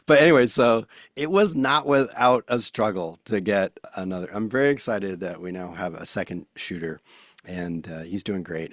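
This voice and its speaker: A man's voice: fairly low pitch, dry recording, clean studio sound. A man co-hosting a podcast, talking in a soothing, rambling way about growing his team. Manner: rambling, self-described soothing voice. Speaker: podcast co-host, photography business owner. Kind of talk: casual podcast chat.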